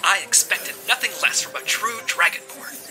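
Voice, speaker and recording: Casual: wry dramatic agent, bright voice. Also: female voice, mid-range voice, lively intonation, normal speed, soft background music, low-quality recording. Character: agent Skip, stuck in detention.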